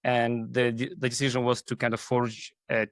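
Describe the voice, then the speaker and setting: A man from Kazakhstan talks as a guest in an interview. His mid-pitched voice is recorded dry, and he explains things in a halting, conversational way. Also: completely flat delivery, dry recording. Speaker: guest, AI team leader. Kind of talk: interview talk.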